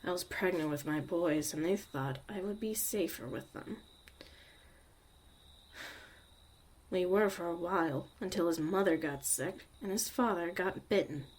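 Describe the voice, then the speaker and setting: A woman playing a jaded, blunt young witch mother in a soft, sardonic ASMR roleplay about surviving the apocalypse. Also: lowish voice, moderate pace, hardly any pauses, natural voice. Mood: cold, bitter. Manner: sardonic, casual, swearing, dismissive.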